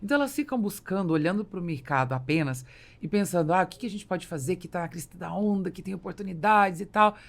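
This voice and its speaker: A woman's voice: fairly low pitch, lively intonation, fast. A woman with a Brazilian accent hosting a conversational business podcast and interviewing a guest.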